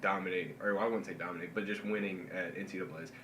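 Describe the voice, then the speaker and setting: A man's voice: low voice, fairly even intonation, racing, sharp tone. A man co-hosting a casual wrestling podcast, a little congested, chatty with stumbling restarts. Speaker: podcast co-host. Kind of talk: casual chat about wrestling. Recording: echoey room, faint noise.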